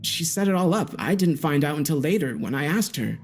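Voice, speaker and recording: A man's voice: on the high side, expressive, fairly quick, voice pushed up. A man voicing a nervous, defensive young male gamer under interrogation in a dramatic audio story. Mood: defensive, agitated. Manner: agitated, defensive, self-justifying. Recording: background music behind the voice.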